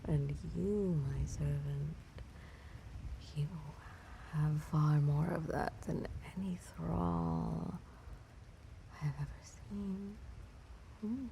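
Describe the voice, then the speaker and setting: A woman playing an ancient, imperious vampire mistress in an intimate audio roleplay, predatory and seductively menacing, with theatrical, breathy half-whispered delivery and drawn-out words. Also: sits low, warm tone, a little hiss or hum, full character voice, poor audio. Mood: seductive, approving.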